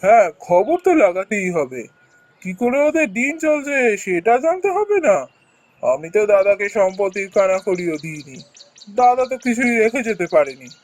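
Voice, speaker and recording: A man's voice: high voice, big pitch swings, moderate pace. A man voicing a suspicious, scheming middle-aged village uncle in a cartoon tale. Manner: suspicious, plotting.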